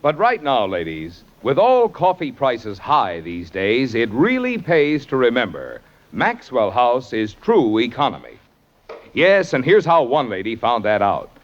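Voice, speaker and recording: A man's voice: mid-range voice, wildly swooping pitch, unhurried. Emphatic vintage radio ad man. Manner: persuasive, emphatic salesman. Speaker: commercial announcer. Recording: dull old radio broadcast.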